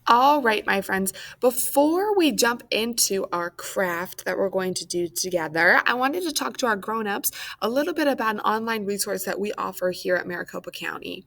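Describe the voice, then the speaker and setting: A woman's voice: mid-range voice, expressive, normal speed, creaky, hardly any pauses, a bit bright. An American woman speaking as a children's librarian at storytime, encouraging and addressing young listeners as friends.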